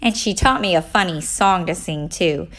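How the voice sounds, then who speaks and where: A woman reading a children's story aloud in an expressive, deliberate way for young listeners. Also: fairly low pitch.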